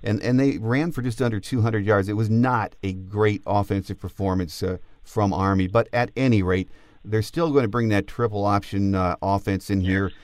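Male sports podcast host, lower-pitched voice, casual sports talk, dry close sound. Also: fairly even intonation, normal speed, no room sound.

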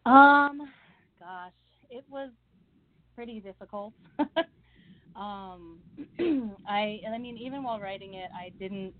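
A woman's voice: medium pitch, slow pace, long pauses, slight background noise. A young woman from the Midwest, an author being interviewed, talking in a chatty way with frequent laughs and fillers. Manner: chatty, laughs often, many fillers and restarts. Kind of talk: casual interview chat. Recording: phone-like narrow sound.

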